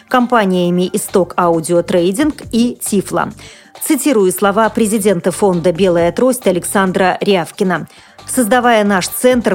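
A woman news presenter reading a scripted bulletin in a formal, measured way with careful enunciation. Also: mid-range voice, a little mellow.

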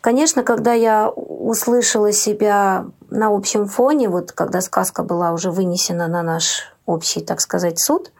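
A woman amateur performer giving a reflective, somewhat hesitant personal account, softening her phrases as she goes. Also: mid-range voice, fairly even intonation, moderate pace, gravelly, few pauses. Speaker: amateur audio-play participant.

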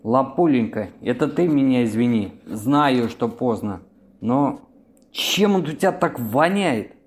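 An actor in an amateur audio play as a fussy, suspicious boyfriend who coos and wheedles. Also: male voice, medium pitch, animated, unhurried, natural voice. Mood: suspicious, casual. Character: cooing, fussy, suspicious male lover dropping by late.

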